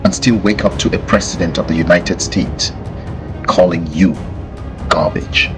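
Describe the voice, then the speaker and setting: A man hosting a podcast, giving scripted explanatory commentary in a deliberate way, with rhetorical questions and repeated phrases for emphasis. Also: deep voice, steady tone, music in the background. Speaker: podcast host.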